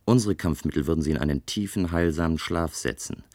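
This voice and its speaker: A very low male voice carries this science fiction radio play. a composed, self-assured inventor of games from a future society. His delivery is articulate, measured and reflective. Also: a little mellow. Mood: reflective, weary. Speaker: narrator and lead character in a radio play. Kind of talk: scripted German radio drama, first-person narration.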